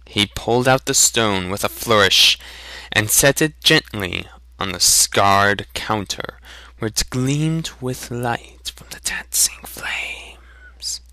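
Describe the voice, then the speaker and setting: Slow, bright-voiced male storybook reader. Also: lowish voice, lively intonation, no echo, whispered. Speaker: reader of a fan dramatic reading. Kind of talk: dramatic storybook narration.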